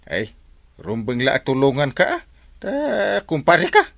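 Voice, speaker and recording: A jovial village captain, with a mid-pitched voice that swings widely up and down. He sounds like a helpful friend in a radio comedy, recorded dry. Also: huge pitch swings, unhurried, fairly bright tone, no room sound. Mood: jovial, friendly. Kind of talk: Ilocano comedic radio drama acting.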